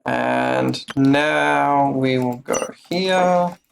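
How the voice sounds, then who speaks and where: A man giving casual livestream gameplay commentary in a halting way, muttering to himself. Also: mid-range voice, gravelly, background noise. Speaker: live game streamer. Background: game music.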